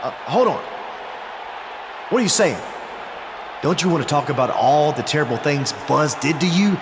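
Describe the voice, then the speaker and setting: A man's voice: on the high side, expressive, moderate pace, a crowd in the background. An actor playing an arrogant, scheming wrestler, a man giving a goading, theatrical promo to an arena in a wrestling game. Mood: indignant. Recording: echoey arena, clear background noise.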